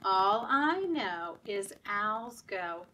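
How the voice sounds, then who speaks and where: Female children's storytime reader: very measured pace, animated, participatory delivery, slightly distant from the microphone. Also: medium pitch. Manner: animated, interactive, asking children questions and prompting actions. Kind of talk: expressive picture-book read-aloud to young children. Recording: voice at a distance from mic.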